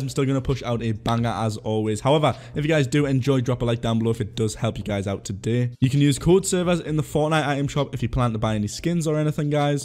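A man's voice: medium pitch, a little mellow. A man with a cold hosting a daily gaming news roundup, upbeat and chatty, calling his viewers guys and boys. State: sick with a cold.

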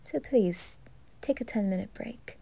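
A woman voicing a composed, weary male butler in an ASMR roleplay, speaking calmly and softly, at times in a whisper. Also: medium pitch, normal speed, lightly acted. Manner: calm, hushed, dry.

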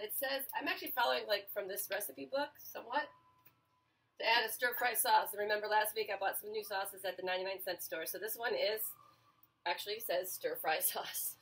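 A woman's voice: mid-range voice, background noise. A woman in her forties hosting a casual home cooking show, chatting to viewers as she cooks, with fillers and self-corrections. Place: small home kitchen. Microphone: camera mic a few feet away. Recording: home kitchen with clattering pans.

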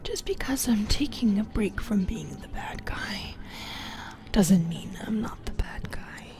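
A woman's voice: medium pitch, animated, a little mellow. Whispering, teasing demon girl acted by a woman. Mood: teasing, soothing. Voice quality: breathy whisper. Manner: slow, intimate, teasing. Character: possessive, teasing female sleep paralysis demon, menacing yet affectionate. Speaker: ASMR roleplay performer. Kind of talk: whispered ASMR roleplay.

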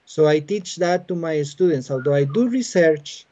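Costa Rican man, mellow, muffled video call. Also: fairly high pitch, animated. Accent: Costa Rican Spanish. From Costa Rica. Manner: patient, halting, many "uh" fillers. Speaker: biologist and university teacher. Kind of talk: explanatory interview answers. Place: home room with bookshelves. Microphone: laptop webcam on a video call.

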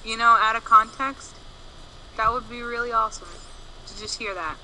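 A woman's voice: fairly high pitch, fairly even intonation, normal speed, sharp tone, slight background noise, poor audio. A woman co-commentating a let's play, teasing and sarcastically backseat-gaming the player. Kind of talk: casual gameplay commentary.

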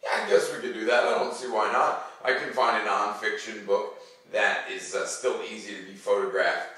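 A man's voice: lowish voice, moderate pace, bright, forceful tone. A middle-aged man who hosts a read-aloud for students, chatting casually to kids in an easygoing, conversational way with wry asides. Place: living room at home, armchair by fireplace. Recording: very echoey room, distant mic.

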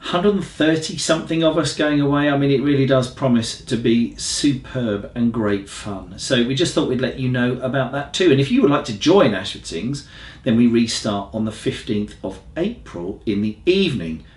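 A man's voice: mid-range voice, animated, moderate pace, darkish tone. A man in his forties with an English accent, a pastor giving informal, laid-back church announcements. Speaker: senior pastor co-presenting a church newsletter. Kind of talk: casual announcements to camera. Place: small living room, on a sofa. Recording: roomy, echoey living room.

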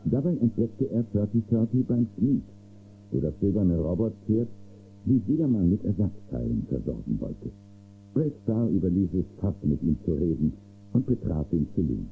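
A man's voice: low-pitched, sing-song, normal speed, gravelly, exaggerated voice. A man acting as the storytelling narrator of a space-western radio play. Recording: thin muffled cassette, music behind.

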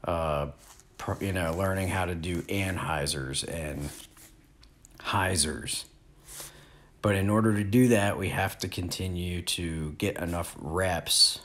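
Low-voiced man teaching outdoors. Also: takes it slowly, a little hiss or hum. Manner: relaxed, explanatory, plain-spoken. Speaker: disc golf instructor. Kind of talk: outdoor instructional talk. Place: open field outdoors.

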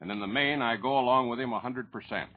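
A husky-voiced American man speaks as a business spokesman in a measured, formal way. He comes over a thin, tinny 1940s radio line. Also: mid-range voice. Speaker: banker interviewed as business spokesman. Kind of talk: formal, prepared-sounding statements.

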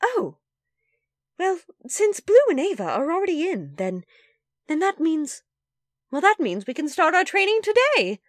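A woman's voice: very high-pitched, very animated, measured pace, pauses often, no room sound, clean studio sound, exaggerated voice. A woman voicing a gracious, welcoming magical dog queen for an animation audition. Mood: flustered, encouraging. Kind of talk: animated character audition lines.

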